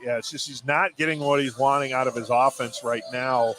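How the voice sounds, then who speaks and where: A man's voice: medium pitch, steady tone, brisk, fairly bright tone, soft background music, rough recording. A man giving conversational color commentary and analysis during a live basketball radio broadcast. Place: at a basketball game in a gym.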